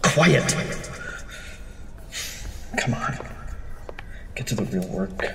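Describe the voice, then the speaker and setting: A man's voice: lowish voice, expressive, extremely slow, vocal fry, roomy sound, big-room sound, heavy background noise, exaggerated voice. A man acting a nervous city architect in a horror audio drama, hesitant and stammering. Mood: flustered. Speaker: lead character in an audio drama.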